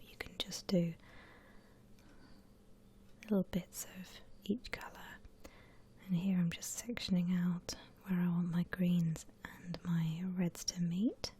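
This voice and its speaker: Slow, whispering ASMR woman. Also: on the low side, slight background noise. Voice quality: soft, breathy whisper. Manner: gentle, unhurried instruction. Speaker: ASMR art channel host. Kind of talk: whispered ASMR drawing tutorial.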